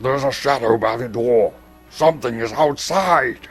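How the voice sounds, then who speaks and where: A man voicing angry, alarmed goblin guards who bark and yell orders in a dramatic storybook reading. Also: medium pitch, a little slow, soft background music, lightly acted. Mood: alarmed, urgent. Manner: barking, yelling.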